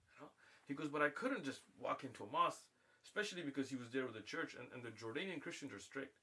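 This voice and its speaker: A man's voice: mid-range voice, fast. A man from the United States telling a long anecdote in casual livestream talk, acting out the people in it. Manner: animated, acts out voices in anecdotes.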